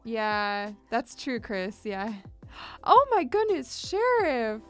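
Young woman streamer, chatty and bright-pitched, light fry, close studio mic, faint music underneath. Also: big pitch swings, slow. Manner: chatty, upbeat, squeals greetings at viewers. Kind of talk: casual live-stream chat. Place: small home studio, indoors. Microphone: large studio mic on boom, headphones.

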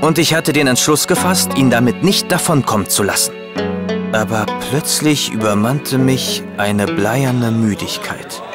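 A man's voice: medium pitch, a little slow, close to an everyday voice. Man acting an earnest detective's chronicler over music. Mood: brooding narration. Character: hot-headed, loyal male chronicler, detective's companion. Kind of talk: German audio drama acting with first-person narration.

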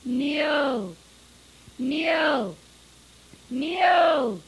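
A man's voice: very high voice, unhurried, slight background noise, voice pushed up, poor audio. A woman voicing a stern, anguished farmer father in an audiobook story, curt and commanding. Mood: desperate calling. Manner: curt, barking orders.

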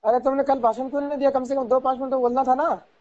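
Fast, very high-voiced brusque male caller. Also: fairly even intonation, a little mellow. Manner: brusque, repetitive. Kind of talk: confrontational phone conversation. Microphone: telephone. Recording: dull lo-fi phone call recording.

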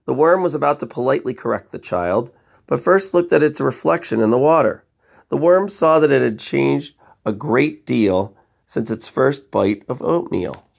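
A man's voice: mid-range voice, animated, normal speed, creaky, muffled recording. A man reading a picture book aloud to children, expressively, with chatty asides and questions to young listeners. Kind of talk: bedtime story read-aloud with conversational asides.

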